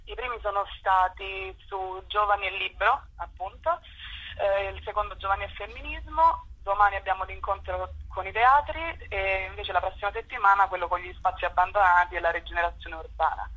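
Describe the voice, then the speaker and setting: A woman's voice: mid-range voice, a little fast, pushed and bright. A young woman speaking as an interview guest over a telephone connection, explaining things informally. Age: young adult. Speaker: youth group spokesperson, interview guest. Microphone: phone call. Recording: muffled, rough telephone line.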